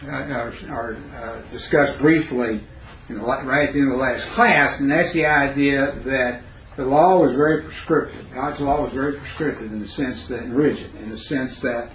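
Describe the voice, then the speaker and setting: A man with a raspy, hoarse voice teaching a Bible class, pausing to quiz his students. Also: mid-range voice, a bit bright. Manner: halting, questioning teacher who pauses to quiz the class. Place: classroom, indoors. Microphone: recorder set near the speaker. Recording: roomy classroom recording, faint noise.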